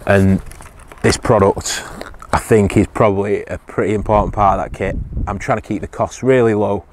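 A man's voice: fairly low pitch, fairly even intonation, normal speed, a little mellow, slight background noise. A man, casually reviewing survival gear outdoors on a hillside, hesitant with many ums and restarts.

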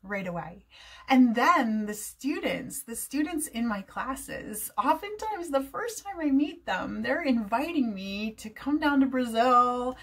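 A woman's voice: fairly high pitch, big pitch swings, a little slow, fairly bright tone, slightly rough. Cheerful middle-aged American woman. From New Jersey, USA. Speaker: English teacher. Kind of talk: talking to camera. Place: home room, indoors.

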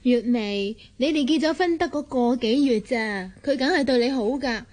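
Aggrieved housewife, high voice. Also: female voice, moderate pace, a little mellow. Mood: knowing. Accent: Hong Kong Cantonese. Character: aggrieved, snooping plump housewife and friend. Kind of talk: Cantonese radio drama acting.

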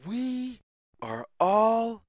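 A woman reading a picture book aloud for young children in a deliberate, sing-song way, in short separated phrases. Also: fairly high pitch, very animated, no echo, studio quality. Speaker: children's story reader.

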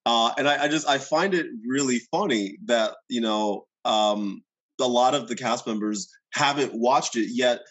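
A middle-aged man hosting a podcast over a video call from home, eager and quick to agree and laugh along. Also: mid-range voice, a bit bright. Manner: eager, frequent backchannel and laughs. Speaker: podcast host. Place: home room indoors. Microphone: video call webcam.

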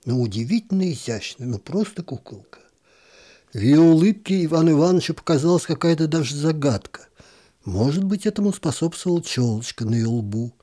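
A man reads a literary story aloud in a calm, measured voice. His tone is dark and mellow, with a husky edge, and he sometimes sinks to a near whisper. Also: a little high. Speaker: narrator. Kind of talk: literary audiobook reading.

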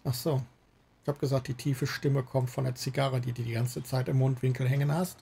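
Very creaky-voiced man hosting a stream. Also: mid-range voice, flat delivery, a little fast, dark tone. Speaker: livestream host. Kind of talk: casual gaming stream chat. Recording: faint background noise.

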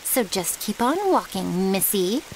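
A woman's voice: mid-range voice, measured pace, close to an everyday voice, rough recording. A woman playing a cheeky, loyal vampire's servant in an intimate ASMR audio roleplay, with a casual, teasing way of talking. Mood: teasing, smug. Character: cheeky, flirty, loyal female thrall of a vampire. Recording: hissy, rain-like background noise.

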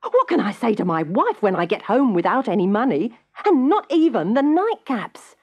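A hapless storybook peddler man played by a woman laments in despair. The voice is pushed high and wailing, with a husky edge. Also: sing-song, moderate pace, muffled recording, exaggerated voice. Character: despairing, hapless travelling nightcap seller, a man.

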